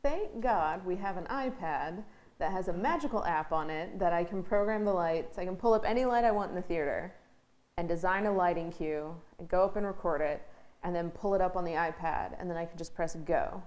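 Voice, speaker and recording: A woman's voice: on the low side, brisk, a little mellow. A woman, a stage manager being interviewed, chatting casually with a wry, jokey turn of phrase.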